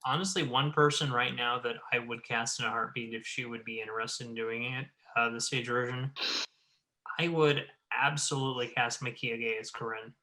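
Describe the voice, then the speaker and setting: A man's voice: medium pitch, fairly even intonation, normal speed, pushed and bright, low-quality recording. A man chatting casually on a panel, speaking emphatically and repeating phrases for stress.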